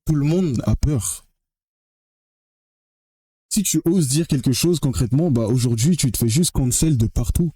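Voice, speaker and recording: A man's voice: medium pitch, expressive, measured pace, pauses often, dark tone, husky, dry recording. A young man from French Guiana giving a blunt, emphatic opinion monologue to the camera, swearing freely and often repeating or restarting his phrases. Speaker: vlogger.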